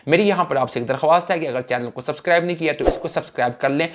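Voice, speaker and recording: A man's voice: a little high, rapid-fire, background noise. A man giving spoken news commentary, formal, addressing viewers directly, with frequent restarts and fillers.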